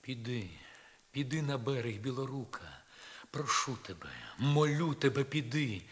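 An actor playing a passionate, reckless, lovesick knight in a verse drama, with declamatory, impassioned delivery. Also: male voice, medium pitch, lively intonation, measured pace, lightly acted. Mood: pleading. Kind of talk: dramatic poetry reading. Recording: vinyl record rip.

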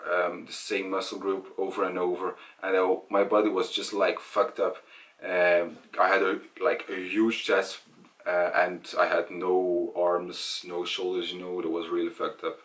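Low-key young man, flat delivery. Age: young adult. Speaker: vlogger. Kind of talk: casual personal storytelling to camera. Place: small indoor room at home. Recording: voice distant from the mic.

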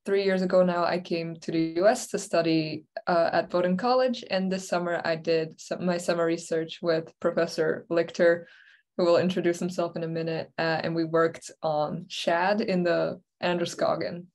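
A woman's voice: on the low side, steady tone, normal speed, a little mellow. A young woman from Belgium, a student researcher, introducing herself over a video call. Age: young adult. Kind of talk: self-introduction.

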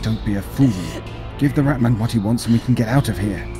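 A man's voice: medium pitch, fairly quick, lightly acted. A man playing a cynical, cowardly, sneering criminal in a radio play, with sardonic delivery. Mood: sharp warning. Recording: music under the voice.

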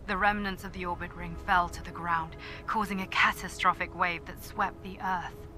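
An actress playing a soldier reading her final recorded log in a grave, measured way. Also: fairly low pitch, fairly even intonation. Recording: recorded log over game music.

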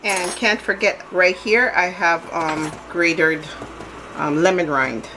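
A woman's voice: fairly low pitch, fairly even intonation, slow. A woman baking at home, talking casually through each step of a recipe with chatty restarts, filmed from above her kitchen counter. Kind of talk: casual cooking demonstration. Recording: loud hand mixer noise.

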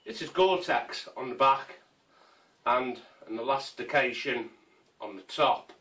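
Slow-talking man showing kit. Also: medium pitch, lively intonation, a bit bright, far from the mic, poor audio. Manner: unhurried, rambling, with frequent ums and restarts. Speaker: survival gear vlogger. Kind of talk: casual show-and-tell. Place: small conservatory full of kit. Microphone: camera mic from a few feet away.

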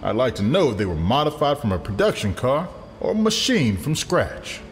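Man playing ambitious district attorney, earnest, persuasive, low voice, audio drama, long reverb with faint music. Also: very animated, moderate pace, natural voice. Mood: casual, probing. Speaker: voice actor.

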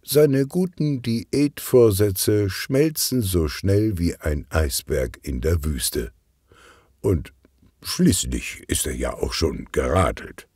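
A man narrating a children's detective story in an expressive, scripted audiobook style. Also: sits low, no room sound, clean studio sound. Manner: expressive, clearly articulated storytelling.

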